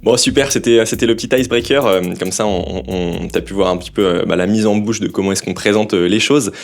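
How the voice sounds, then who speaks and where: Fast-talking male host. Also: fairly low pitch, creaky, soft background music. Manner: relaxed, anglicisms, stammering restarts. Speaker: podcast host. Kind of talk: casual interview hosting.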